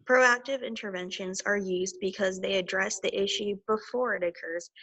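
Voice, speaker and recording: A woman's voice: mid-range voice, fairly bright tone, rough recording. A woman from Florida who works as a behavior technician, carefully reading cited facts in a prepared presentation.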